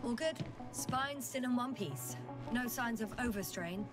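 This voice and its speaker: An actress playing a weary, dutiful scientist exploring an alien planet in a video game, speaking in a matter-of-fact way. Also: female voice, a little high, expressive, unhurried, recorded from a distance, over loud music. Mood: focused, businesslike. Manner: matter-of-fact radio-style reporting. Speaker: scientist astronaut on a planetary mission. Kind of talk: video game voice acting, naturalistic.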